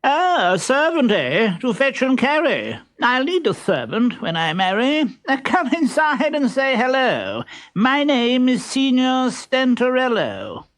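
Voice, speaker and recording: Vain silly suitor poet for a kids story, shrill n giggly, bossy, draws words out. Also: male voice, very animated, a little slow, fairly bright tone, dry recording, heavily acted. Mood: smug, gleeful. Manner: theatrical, chuckling, savouring rhymes.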